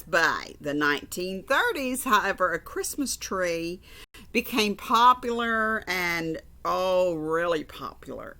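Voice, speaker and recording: Slow-paced woman reading aloud, bright voice. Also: on the low side. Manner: conversational, repeats phrases for emphasis. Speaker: storybook reader. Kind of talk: informal read-aloud to children with chatty asides.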